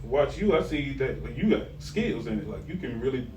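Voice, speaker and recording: A man's voice: medium pitch, sing-song, moderate pace. Rambling male podcast host, roomy sound. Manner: rambling, question-heavy, filler-laden. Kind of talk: casual interview chat. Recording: roomy, distant mic, faint noise.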